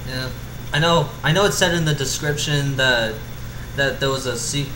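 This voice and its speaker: A man with an average-pitched voice reads and chats casually. He stumbles and repeats words as he goes. The room echoes and there is steady noise behind him. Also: moderate pace. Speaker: fan fiction reader. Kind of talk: amateur story reading and casual chat. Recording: roomy echo with clear background hum.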